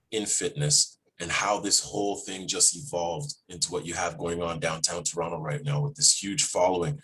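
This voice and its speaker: This is a man with a low-pitched, husky voice. He hosts a podcast in a casual, conversational way. He tells stories in a chatty, animated manner and puts questions to his guest. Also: poor audio. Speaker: podcast host interviewing a guest.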